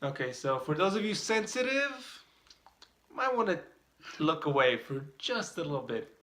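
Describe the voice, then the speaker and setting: A man's voice: a little high, very animated, normal speed, sharp tone, far from the mic. A young man from Romania co-hosting a couple's vlog in a casual, playful, teasing way. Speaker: vlog co-host. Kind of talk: casual chat. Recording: echoey room, off-mic.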